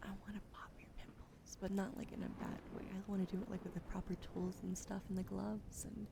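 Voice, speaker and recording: Whispering woman with a gravelly edge. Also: medium pitch, level tone, fairly quick. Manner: soft whispering, chatty and playful. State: slight cough, recovering from illness. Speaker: livestreamer. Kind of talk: ASMR roleplay whispering.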